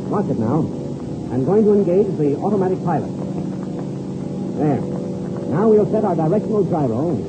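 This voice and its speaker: An actor playing a confident, hearty veteran pilot mentor in a 1930s radio serial, brisk, instructive and self-assured. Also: male voice, on the high side, animated, close to an everyday voice. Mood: proud, enthusiastic. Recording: dull, very noisy old radio recording.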